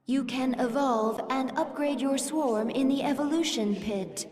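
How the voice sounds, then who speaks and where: Calm formal alien advisor, highish voice, big reverb, game dialogue. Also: female voice, a crowd in the background. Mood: calm, dutiful. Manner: measured, formal, informative. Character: loyal female alien swarm advisor, calm, deferential. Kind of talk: video game character dialogue. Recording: long hollow reverb. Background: music.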